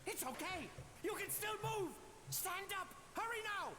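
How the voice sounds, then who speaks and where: An actor playing a flamboyant, menacing circus ringmaster clown in a video game, with theatrical, mocking, drawn-out taunts. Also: male voice, very high voice, crowd noise. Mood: urging. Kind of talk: cartoonish video game villain acting. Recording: loud game music underneath. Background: loud video game music.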